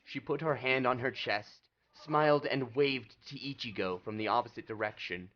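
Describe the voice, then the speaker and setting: A man's voice: medium pitch, moderate pace, fairly bright tone, muffled recording. A man giving scripted dramatic narration as the narrator of a story.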